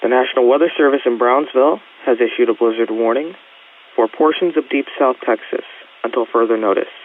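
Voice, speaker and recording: A synthetic man's voice delivering a scripted emergency weather warning as a formal, measured announcer. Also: medium pitch. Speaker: automated weather alert announcer. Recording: hissy, tinny weather radio transmission.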